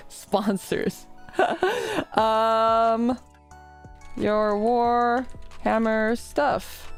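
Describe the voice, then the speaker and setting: A woman's voice: medium pitch, smooth. Rambling, congested woman streamer. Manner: rambling, self-deprecating, reads chat aloud. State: slightly congested. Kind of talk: casual live-stream chat.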